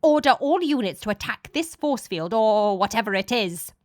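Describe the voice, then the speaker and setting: A woman's voice: fairly high pitch, lively intonation, normal speed, darkish tone, dry recording, studio quality, close to an everyday voice. Woman acting an exasperated demon commander. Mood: angry, baffled. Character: angry, baffled demon commander barking at subordinates. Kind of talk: dramatic audiobook character voice.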